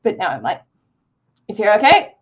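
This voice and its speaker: A woman co-hosting a livestream, chatting casually in an emphatic, expressive way. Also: mid-range voice, normal speed, highs cut off. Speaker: co-host of a live reading sprint stream.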